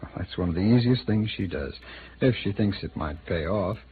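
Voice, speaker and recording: A noisy, narrow-band old radio recording of a worldly American male attorney. His lowish voice is wry, hesitant and evasive, with lively intonation. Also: moderate pace. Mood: hesitant, defensive. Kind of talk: 1950s radio drama acting.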